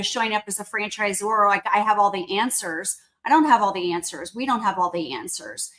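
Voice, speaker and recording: A woman guest speaking as a company chief in a conversational interview, earnest and explanatory, often checking in with a quick tag question. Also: mid-range voice, fairly bright tone, rough recording. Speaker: company CEO, podcast guest.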